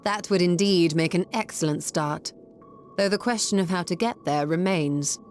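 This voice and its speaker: A woman's voice: lowish voice, moderate pace, darkish tone, faint music behind, close to an everyday voice. A woman acting a composed, analytical scholar in a video game cutscene, with precise, formal delivery. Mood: thoughtful.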